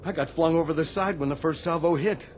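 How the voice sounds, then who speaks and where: Man playing haunted navy sailor, American accent, higher-pitched, halting, anguished, radio drama, faint noise behind. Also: close to an everyday voice. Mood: fearful confession. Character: guilt-ridden navy veteran sailor, haunted and frightened. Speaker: actor.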